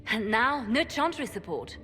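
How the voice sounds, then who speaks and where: An actress playing a coolly serious, watchful female advisor in a video game, measured and grave. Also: a little high, sing-song, sharp tone. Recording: reverberant hall with music behind.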